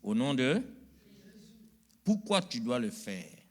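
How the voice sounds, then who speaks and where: A middle-aged pastor, preaching a sermon in a church, calling out to the congregation and rising to loud emphatic peaks. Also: male voice, mid-range voice, sing-song, slow pace.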